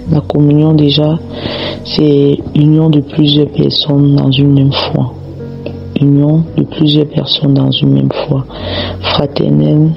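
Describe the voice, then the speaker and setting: A man with a cold preaching slowly and repetitively, praying and teaching a Bible passage. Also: fairly high pitch, vocal fry, highs cut off. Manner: slow, repetitive, prayerful. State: has a cold, slightly hoarse.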